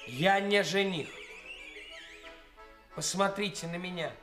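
A man's voice: sits high, slow pace. A man acting in a radio play as a young actor who poses as a brazen, cynical bridegroom, speaking in a theatrical, self-important, declamatory way. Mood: brazen, pompous. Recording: radio play recording with music.

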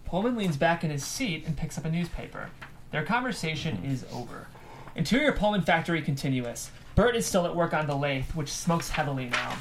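A woman narrates a screenplay at a table read, reading the stage directions. Her voice is on the low side of medium, with slight noise in the recording. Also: animated, a little fast.

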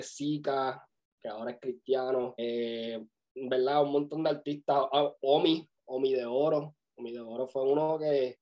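A man in his twenties with a Puerto Rican accent, chatting casually as a podcast guest and telling his story in a halting, self-correcting way. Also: medium pitch, highs cut off. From Puerto Rico. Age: 20s. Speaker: podcast guest, music videographer. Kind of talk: casual interview chat.

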